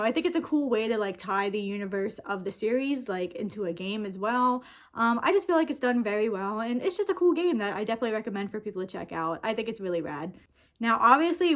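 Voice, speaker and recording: Casting: young adult woman, mid-pitched voice, informal video host chatting loosely with slangy, filler-heavy phrasing. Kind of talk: casual chatty rant-style vlog.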